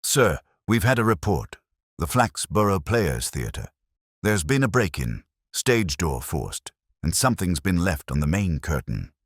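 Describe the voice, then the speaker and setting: Man reading as dutiful, deep-voiced police constable. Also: sing-song, measured pace, no echo, clean studio sound, exaggerated voice. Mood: urgent.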